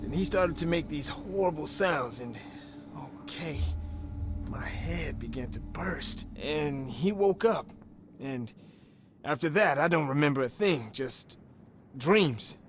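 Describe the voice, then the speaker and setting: An actor playing a dazed, anxious working-class fisherman in a video game, speaking in halting, groggy, clipped phrases. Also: on the high side, animated, music in the background. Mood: haunted. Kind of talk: dramatic video game voice acting.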